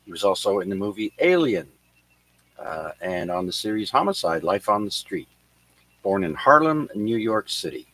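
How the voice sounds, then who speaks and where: Ham radio net host reading history notes. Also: male voice, on the low side, very animated, fairly bright tone, no echo, rough recording. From Canada. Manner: halting reading with many "uh" fillers. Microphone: radio transceiver microphone.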